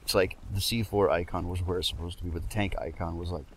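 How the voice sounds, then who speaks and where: A man's voice: low voice, steady tone, fast talker, slight background noise. A man chatting casually as a game co-commentator, with a dry, wry manner. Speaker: let's play co-commentator.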